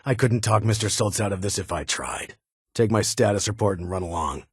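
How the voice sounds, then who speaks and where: A man's voice: on the low side, brisk, husky, dry recording, clean studio sound. A man playing a stern, guarded military security chief in a video game, with a clipped, authoritative and brusque manner. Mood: curt, commanding. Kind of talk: video game character dialogue.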